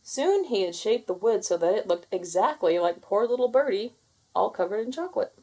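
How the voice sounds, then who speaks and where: Female storybook narrator: mid-range voice, expressive and deliberate reading for children. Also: medium pitch, slightly rough.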